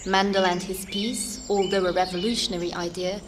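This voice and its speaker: A woman's voice: medium pitch, steady tone, a little fast, background noise, lightly acted. Woman as an earnest fairytale storyteller, faint ambience. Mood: evocative. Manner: dramatic, evocative. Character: earnest, atmospheric female storyteller, easily irritated by interruptions. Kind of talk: scripted fairytale narration.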